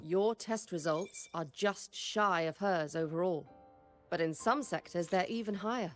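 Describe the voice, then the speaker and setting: A world-weary instructor from a story game, played by a woman, stern and exasperated. Her voice is a bit low, with dry, measured delivery. Soft music plays underneath. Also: close to an everyday voice. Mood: measured, serious. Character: stern, world-weary female former teacher of pilots. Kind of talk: video game story voice acting.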